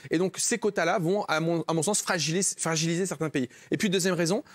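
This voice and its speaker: A man's voice: a little high, fairly even intonation, quick pace, no room sound, clean studio sound. A man speaking as a panelist, earnestly arguing a point in a political debate.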